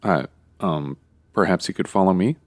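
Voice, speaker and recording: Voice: sits low, moderate pace, lightly acted. An actor playing a solemn, unctuous funeral parlor attendant in a comedy audio drama, formal, lugubrious and hesitant. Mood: awkward, solemn.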